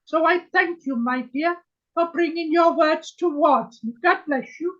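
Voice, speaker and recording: Gentle, high-voiced female spirit, voiced by a trance medium: slow, archaic, solemn, muffled call audio. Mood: solemn, tender. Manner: slow, solemn, archaic phrasing, keeps saying my dear. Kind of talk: trance channeling on a video call. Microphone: video call.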